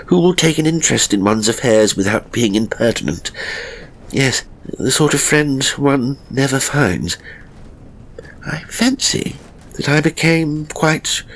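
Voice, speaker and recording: A man narrating a literary ghost story in the first person, with measured, dramatic phrasing and deliberate pauses. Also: medium pitch, low-quality recording. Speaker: audiobook narrator. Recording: music behind the voice. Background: music.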